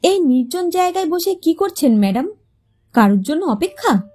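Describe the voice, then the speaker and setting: A woman's voice: extremely high pitch, sing-song, moderate pace, darkish tone, voice pushed up. A woman voicing an easygoing, teasing young man with a wistful streak in an audio short story, speaking casually and cheekily.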